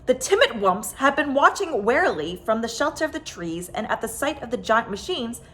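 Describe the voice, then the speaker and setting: Animated woman reading a children's story. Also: on the high side, moderate pace. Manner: expressive, dramatic emphasis with stretched words. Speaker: storyteller reading a picture book aloud.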